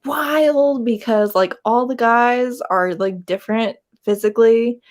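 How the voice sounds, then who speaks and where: A woman's voice: a little high, a little slow. Bluntly teasing woman hosting a book chat. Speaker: host of a live readalong. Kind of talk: casual group chat about books.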